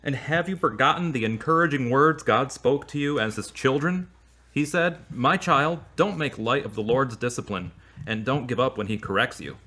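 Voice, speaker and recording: A man playing a devout, easily wounded boyfriend in an audio drama, earnest and expressive. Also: medium pitch, moderate pace. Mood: prayerful, earnest. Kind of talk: acted audio drama dialogue.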